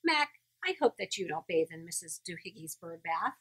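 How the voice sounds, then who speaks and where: A woman storyteller reading a picture book aloud to young children in a playful, exaggerated manner, pausing to put questions to her listeners. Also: fairly low pitch, big pitch swings, a bit bright, slightly rough.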